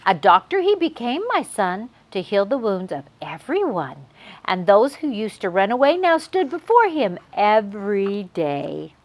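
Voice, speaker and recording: A woman's voice: mid-range voice, sing-song, measured pace. A woman hosting a children's read-aloud, telling a picture-book story expressively to young viewers.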